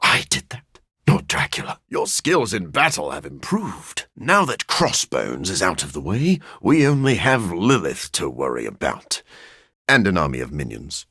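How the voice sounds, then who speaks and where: Brooding vampire antihero, low-pitched, gravelly, formal, dryly witty, video game character. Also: male voice, wildly swooping pitch, a little slow, pushed and bright, dry recording, clean studio sound, full character voice. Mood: stern, wary. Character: brooding scientist turned vampire antihero, dry and courteous.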